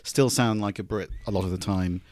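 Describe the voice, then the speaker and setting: English man, upper-class accent, lowish voice, dry studio sound, wry. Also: steady tone, a little fast, a little mellow, no echo. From England. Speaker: podcast guest. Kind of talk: casual chat.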